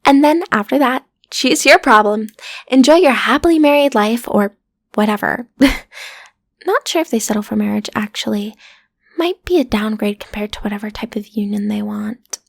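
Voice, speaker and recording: A frazzled, sarcastic female matchmaker at a shady agency played by a woman in a comedic audio roleplay. Her voice sits fairly high with a strong creaky edge, recorded close and dry. She chats straight at the listener, tossing out flippant asides and mutterings. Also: moderate pace, no room sound. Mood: exasperated, flippant.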